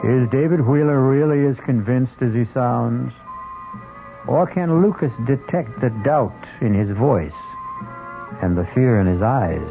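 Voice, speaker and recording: A man's voice: mid-range voice, expressive, unhurried, vocal fry. A man hosting a radio mystery show, delivering dramatic scripted narration. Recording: muffled old radio recording with music.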